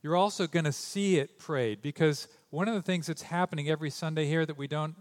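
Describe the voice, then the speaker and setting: A man's voice: fairly high pitch, a little fast. A man preaching a sermon, reading scripture and then talking loosely, with joking asides and stumbles, into a stage microphone in a church. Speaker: pastor. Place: church sanctuary.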